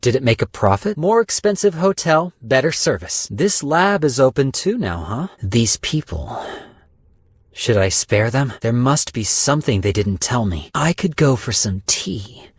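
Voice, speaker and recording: An actor playing a calm, mercenary businessman in studio game voice lines, speaking dry and deadpan. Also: mid-range voice, measured pace, breathy edge, no echo, exaggerated voice. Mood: weary. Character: weary, cold-blooded businessman stock broker, male, adult.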